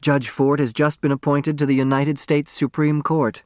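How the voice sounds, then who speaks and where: A man voicing a loyal woman lawyer in an audiobook, matter-of-fact and gently reassuring. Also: fairly high pitch, little pitch movement, normal speed, no room sound, clean studio sound, close to an everyday voice. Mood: teasing, brisk.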